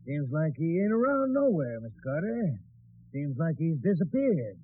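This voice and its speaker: A man's voice: on the high side, very animated, normal speed. An actor playing a folksy, deferential rural American man who helps with searches, in 1940s radio drama style. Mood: puzzled, deferential. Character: rustic, deferential male farm-country searcher. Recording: muffled, band-limited old radio broadcast.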